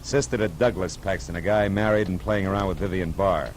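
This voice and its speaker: A man's voice: fairly even intonation, fairly quick, close to an everyday voice. An American man playing a world-weary, tough private detective in old radio noir style, wry and clipped. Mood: urgent, insistent. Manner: wry, clipped hard-boiled delivery. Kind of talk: 1950s American radio noir acting and narration. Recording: vintage radio broadcast, music and engine rumble behind.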